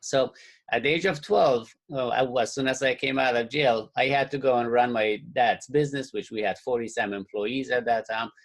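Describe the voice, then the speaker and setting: A man from Afghanistan telling his life story on a webinar call, earnest and halting with many fillers. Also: medium pitch. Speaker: webinar guest speaker. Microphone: call or webinar audio.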